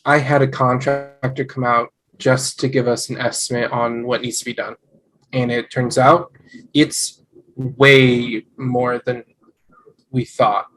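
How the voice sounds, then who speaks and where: A man acts out an eager, devoted young husband in a sitcom read-through. His voice sits in the middle of the male range. He talks in an earnest, excitable way. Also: mid-range voice, takes it slowly, rough recording. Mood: explaining, hesitant.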